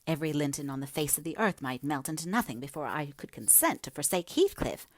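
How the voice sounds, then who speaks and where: A woman voicing a passionate, headstrong young gentlewoman in a dramatic, emphatic audiobook reading, breathy at times. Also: sits low, animated, normal speed, dry recording. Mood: indignant, defiant.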